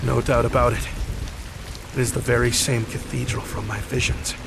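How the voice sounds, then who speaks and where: A man's voice: medium pitch, level tone, normal speed, natural voice. A man playing a brooding, devout warrior, a video game hero talking to himself. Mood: brooding, resolute. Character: brooding, devout male warrior on a holy mission. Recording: clear rain and thunder noise behind.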